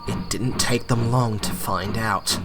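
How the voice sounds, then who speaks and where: A man's voice: on the low side, steady tone, takes it slowly, vocal fry. A man narrating a scripted, dramatic story for an audio drama.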